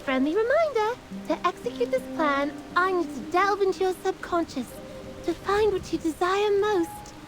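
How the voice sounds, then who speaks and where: A woman's voice: very high voice, lively intonation, normal speed, music in the background, poor audio. A woman voicing a playful, mischievous fairy in a video game, with a theatrical, teasing delivery. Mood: excited, scheming. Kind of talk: scripted game voice acting.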